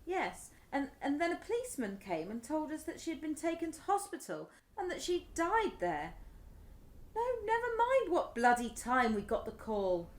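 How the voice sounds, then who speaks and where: A British actress playing an anxious, fretful middle-aged mother who is quick to anger, in a radio play. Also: sits high. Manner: fretful, emotional, swinging from pleading to exasperated.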